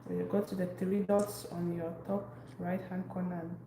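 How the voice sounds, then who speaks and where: A woman from Cameroon, hosting a casual cooking live stream and chatting warmly with viewers by name as she cooks in her kitchen. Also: fairly low pitch, level tone, moderate pace. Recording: echoey room, faint background noise.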